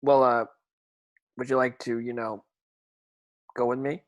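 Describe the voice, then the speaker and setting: A man plays a teenage wizard schoolboy in a fantasy script read. His voice is medium in pitch, earnest and unsure, with a hesitant, questioning way of speaking. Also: flat delivery, measured pace, close to an everyday voice. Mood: shy, awkward.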